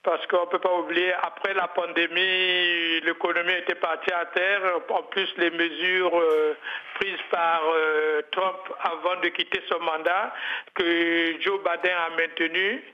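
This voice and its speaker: A lo-fi, muffled call line carries a man's high voice. He answers radio interview questions earnestly, in measured phrases. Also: level tone. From Congo-Brazzaville. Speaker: interview guest speaking from Havana. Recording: dull lo-fi remote call line.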